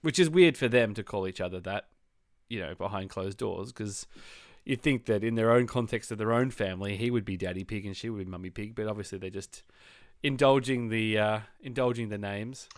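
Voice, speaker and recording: A man's voice: lowish voice, brisk, no room sound, clean studio sound. An Australian man hosting a podcast in casual chat, laughing easily and thinking aloud as he goes. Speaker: podcast host.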